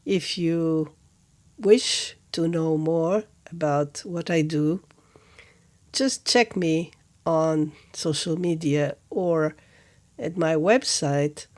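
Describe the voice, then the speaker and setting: Woman talking real slow and gentle, calm spiritual reflection, lots of pauses. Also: deep voice. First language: Portuguese. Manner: gentle, measured, with long pauses between phrases. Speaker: spiritual teacher, podcast host. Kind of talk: reflective contemplative talk.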